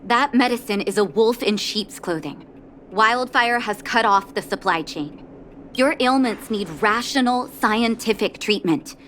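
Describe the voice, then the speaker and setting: An actress playing a calm, resolute doctor in a video game, speaking in a composed, measured and firm way. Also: female voice, a little high, a little hiss or hum. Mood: gentle firmness. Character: calm, resolute, dutiful woman doctor of the underworld.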